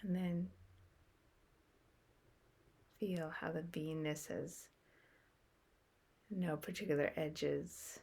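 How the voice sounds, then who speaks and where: A woman leads a guided meditation in a low, gravelly voice. She speaks very slowly and softly and leaves long pauses between short phrases. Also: steady tone.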